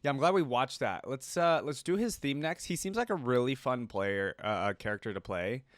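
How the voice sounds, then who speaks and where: A man's voice: mid-range voice, lively intonation, normal speed, slight background noise. A man giving casual, off-the-cuff reaction commentary, musing aloud as he works through his guesses. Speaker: reaction video host. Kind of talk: casual reaction commentary over game videos. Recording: music playing behind the voice. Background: game video soundtrack and theme music.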